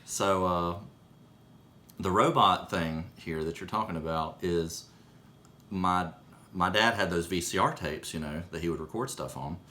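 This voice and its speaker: A man with a very low voice tells a story in an offhand, conversational way. He hesitates and corrects himself as he wanders along. There is some background noise on the recording. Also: unhurried, bright, forceful tone. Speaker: storyteller recalling his own childhood. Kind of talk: casual, rambling anecdote told in conversation.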